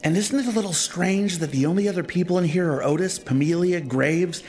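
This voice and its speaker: A man's voice: sits high, brisk, a little mellow. A man playing the sensible, wary one among bickering friends in a comic audio drama. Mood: wary, urgent.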